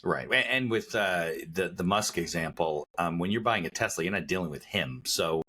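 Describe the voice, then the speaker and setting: A man's voice: low voice, animated, normal speed, sharp tone. A man hosting a podcast interview, chatting casually and often chiming in with short affirmations. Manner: chimes in with short affirmations, finishes guest's sentences. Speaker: podcast host.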